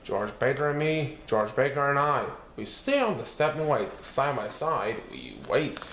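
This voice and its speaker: A man reading a picture book aloud as a storyteller, expressive and sing-song, playing with repeated words. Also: mid-range voice, measured pace. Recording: roomy echo with faint background noise.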